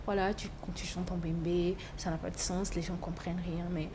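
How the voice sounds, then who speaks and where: A woman's voice: fairly low pitch, level tone, fairly quick, noisy background. A woman singer, a native Bemba speaker, talking casually as a guest and repeating points for emphasis. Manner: emphatic, repeats herself for stress. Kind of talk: casual interview talk.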